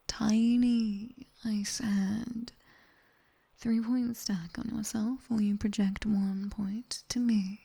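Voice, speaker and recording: A British woman voicing a sharp-tongued nightmare demoness tutor in a soft, breathy ASMR whisper, measured and gently commanding as she guides relaxation. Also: mid-range voice, exaggerated voice. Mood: bossy, playful.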